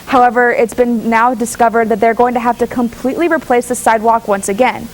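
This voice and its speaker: Higher-pitched woman reporting the news. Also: fairly even intonation, brisk, a little hiss or hum, low-quality recording. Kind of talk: live studio news report.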